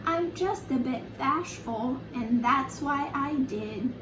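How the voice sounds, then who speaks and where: A woman's voice: high voice, expressive, slow pace, voice pushed down. A woman voicing a shy, bashful, apologetic male owl from a children's storybook, with a hesitant delivery. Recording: roomy, distant mic, faint background noise.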